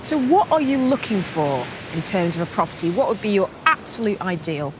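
A woman's voice: medium pitch, fairly quick, background noise. Bubbly British woman presenter. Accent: British English. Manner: bubbly, lively and teasing. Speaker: property show presenter. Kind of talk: presenter walkthrough. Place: outdoors at a rural property. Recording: light music under speech.

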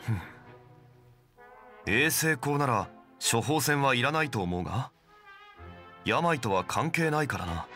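An actor voicing a composed, learned gentleman in an anime game, formal, patient and measured. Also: mid-range voice, expressive, faint music behind.

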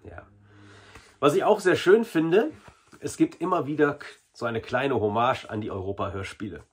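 Male, 40s, slightly rough-edged voice, informal vlog reviewer, chatty and familiar with viewers. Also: mid-range voice, normal speed. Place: small indoor hobby room.

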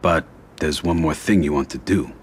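An actor playing a calm, commanding mafia don in a game cutscene, speaking in a measured, deliberate way. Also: male voice, rumbling low, slight background noise. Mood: cold, resolute. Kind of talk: scripted video game cutscene acting.

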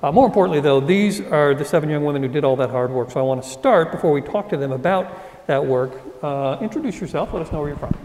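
Male moderator, upbeat and conversational, slightly high-pitched, reverberant studio stage. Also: lively intonation, fast talker, a little mellow. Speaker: instructor and TV anchor hosting a panel. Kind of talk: live panel moderation.

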